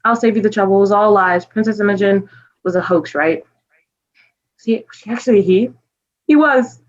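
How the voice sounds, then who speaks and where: A woman's voice: mid-range voice, moderate pace, dull audio, lightly acted. A woman playing a bewildered, skeptical man in a staged comedy reading, speaking bluntly and with incredulity. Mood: exasperated. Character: exasperated, skeptical young man, bewildered listener William.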